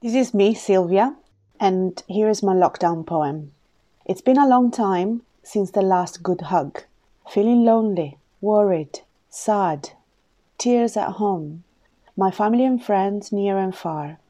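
Woman language teacher, mid-pitched, loud emphatic delivery, dry close sound. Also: animated, slow, no room sound. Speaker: ESOL teacher. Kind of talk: reading her poem and closing the podcast.

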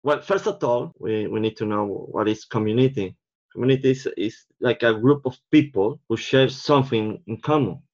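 A man's voice: mid-range voice, normal speed, a little mellow. A man from Venezuela, an English learner, explaining earnestly while searching for words. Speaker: ESOL student. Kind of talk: casual self-introduction and discussion. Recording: dull lo-fi recording.

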